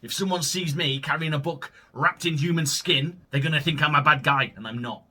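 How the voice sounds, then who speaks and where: Brash, swearing gamer guy, husky and forceful. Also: fairly high pitch, brisk, distant mic. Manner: brash, jokey and theatrical, swears freely. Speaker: gaming YouTuber playing an AI story game. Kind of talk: casual, profane let's-play commentary.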